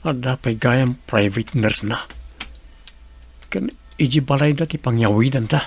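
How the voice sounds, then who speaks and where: Gravelly, gossipy Ilocano snoop. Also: male voice, medium pitch, unhurried, a little hiss or hum. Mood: curious. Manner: musing aloud to himself. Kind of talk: Ilocano radio drama acting, muttered monologue.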